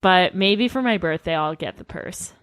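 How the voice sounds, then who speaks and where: Lively, animated woman co-hosting a comedy podcast. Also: lowish voice, normal speed, no room sound, clean studio sound. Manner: playfully dramatic, mock-indignant, self-aggrandizing. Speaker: podcast co-host. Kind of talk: comedic casual podcast chat.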